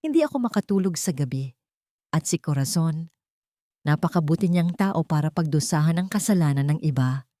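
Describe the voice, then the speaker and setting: A frightened socialite weighed down by guilt and debt, as a woman narrator puts her on, her words trembling and pleading. The voice is lowish and recorded close and dry. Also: animated, normal speed, warm tone, dry recording. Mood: tearful.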